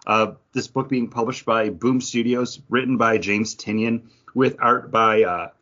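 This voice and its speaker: A man's voice: medium pitch, steady tone, unhurried, bright, forceful tone, lo-fi sound. A man co-hosting a podcast, chatting casually in a comic book review. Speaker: podcast co-host.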